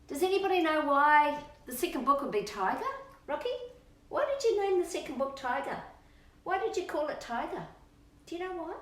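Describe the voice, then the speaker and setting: Storytime presenter: Australian woman, middle-aged, very lively pitch, playful and coaxing, recorded at a distance in a roomy space. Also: a little fast. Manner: playful, coaxing, sing-song questions. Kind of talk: chatty children's storytime, talking to kids and her dog. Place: indoors at home, on a couch. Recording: roomy echo, distant microphone.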